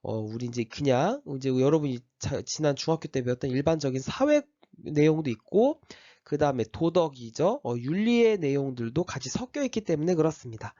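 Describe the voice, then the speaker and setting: A man who teaches high school history and has a slight cold gives a step-by-step orientation lecture to his class, with frequent fillers and restarts. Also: very animated, brisk, warm tone, no echo, highs cut off.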